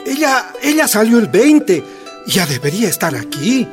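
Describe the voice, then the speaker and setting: An anxious older father, pleading, lively pitch. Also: moderate pace, faint music behind. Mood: worried. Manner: hesitant, pleading. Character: old grandfather, worried and pleading.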